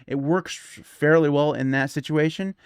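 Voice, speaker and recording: Man, 40, tech podcast co-host; rambling, stumbly delivery, smooth voice, dry close sound. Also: on the high side, normal speed, no echo. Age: 40s. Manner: rambling, stumbling restarts, laughs easily. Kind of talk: casual tech chat.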